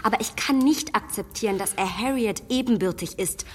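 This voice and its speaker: A woman's voice: medium pitch, a little fast, slightly rough, lightly acted. A clever, self-assured young woman, played by an actress in a radio drama. She talks sharply and defiantly, and a long reverb follows her voice. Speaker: Emma. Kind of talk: radio play acting.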